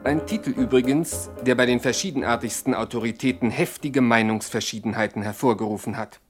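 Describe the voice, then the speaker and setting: A man's voice: mid-range voice, fairly quick, music in the background. A male narrator with a mid-range voice reads for a satirical radio play. He is dry and mock-formal, with carefully scripted phrasing. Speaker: second narrator.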